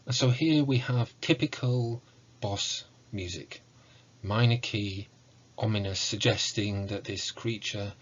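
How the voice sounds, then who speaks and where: A man in his forties giving an academic lecture in a measured, formal, scholarly way, recorded at home on a computer mic. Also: fairly low pitch, dull audio, noisy background. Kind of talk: academic lecture on video game music. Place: book-lined room at home, indoors.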